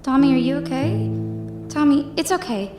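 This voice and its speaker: Woman doing a soft sweet blind little orphan girl, gentle, echoey room, loud music behind. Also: sits high, lively intonation, normal speed. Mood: soothing. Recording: roomy echo with music score.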